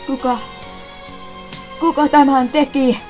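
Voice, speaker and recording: A brave young male pup acted by a woman in a fan-dubbed cartoon. The voice sits high and cries out with pleading, vengeful drama. Music plays behind it. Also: level tone, darkish tone, lightly acted. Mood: grieving fury. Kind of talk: fan-dubbed anime acting.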